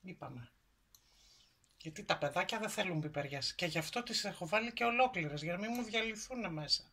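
A woman's voice: on the low side, expressive, sharp tone, breathy edge. A middle-aged woman hosting a home cooking demonstration in her kitchen, explaining each step in a homely, encouraging way. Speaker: cooking show host. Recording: kitchen noise behind voice.

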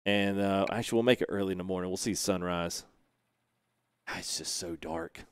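A man's voice: deep voice, normal speed, clear, resonant tone, dry recording, studio quality. Laid-back man streaming a truck sim. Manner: laid-back, chatty, laughs often, reads chat aloud. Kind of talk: casual gameplay livestream chat. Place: home sim-rig setup, indoors. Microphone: headset.

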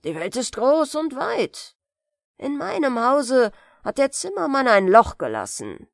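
A woman's voice: high voice, wildly swooping pitch, a little slow, vocal fry, dry recording, clean studio sound, voice pushed up, heavily acted. A woman voicing a callous, scornful father in a fairy tale, speaking emphatically. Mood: scornful indifference. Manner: scornful, proverb-laden, emphatic. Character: callous, gruff father dismissing his wandering sons.

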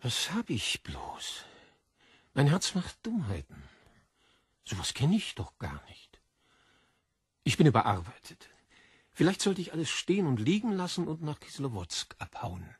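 A man playing a rattled, self-important literary editor, excitable and muttering, in a German audiobook. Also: on the high side, unhurried, pauses often, husky, no room sound, clean studio sound, exaggerated voice. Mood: worried, bewildered. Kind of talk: audiobook character acting.

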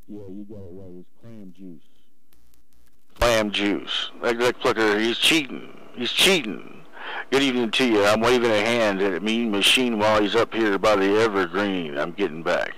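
A man's voice: fairly low pitch, normal speed, bright, forceful tone, low-quality recording. A man teasing in casual CB slang over a radio, repeating his phrases. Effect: CB radio transmission. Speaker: CB radio operator.

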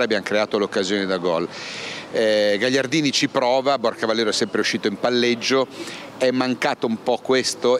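A man's voice: medium pitch, a bit bright, slight background noise. A man interviewing a football coach for club TV after a match, asking long, rambling questions.

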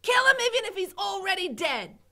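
A woman voicing a bossy, bad-tempered cook who shouts and scolds in rough speech. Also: high-pitched, sing-song, moderate pace, heavily acted.

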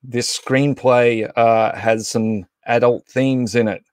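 A man's voice: mid-range voice, steady tone, slow pace, clear, resonant tone, no echo, clean studio sound. A man in his thirties or forties hosting a livestream, casually making announcements and introductions in an off-the-cuff, hesitant way. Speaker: livestream host.

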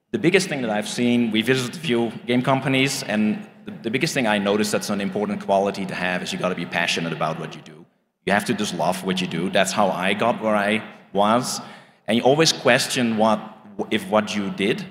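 A middle-aged man from the Netherlands, a native Dutch speaker, giving personal advice as a panelist into a handheld microphone. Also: on the low side. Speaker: panelist, game industry professional. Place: conference stage. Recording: echoey hall stage sound.

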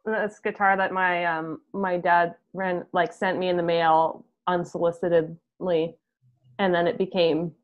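A woman's voice: fairly low pitch, flat delivery, unhurried. Young woman on a dull video call. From Canada. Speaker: band musician, interview guest. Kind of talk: casual chat. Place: home room, video call.

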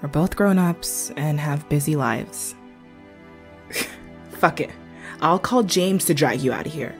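A woman's voice: low voice, animated, takes it slowly, soft background music, heavily acted. A woman voicing a tough biker around thirty, stern but caring, blunt and scolding in a character demo. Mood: stern, reproachful.

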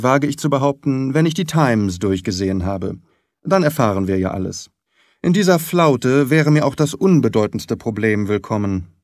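Audiobook detective, played by a male reader: cool, wry, unhurried by emotion, very dry sound. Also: medium pitch, a little mellow, dry recording.

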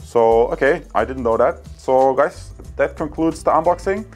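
A man's voice: medium pitch, normal speed, faint music behind. A man casually reviewing and unboxing a gadget indoors, chatty and full of fillers. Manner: chatty, addresses viewers as guys, many fillers. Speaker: tech reviewer. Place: indoor room with wooden table.

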